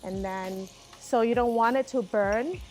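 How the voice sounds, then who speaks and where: A woman's voice: fairly high pitch, a little slow, a little mellow, faint music behind. A woman from Thailand with a Thai accent, a guest chef walking through a recipe step by step as she cooks. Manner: explains step by step while cooking, light laughs. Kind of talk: cooking demonstration. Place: restaurant bar kitchen.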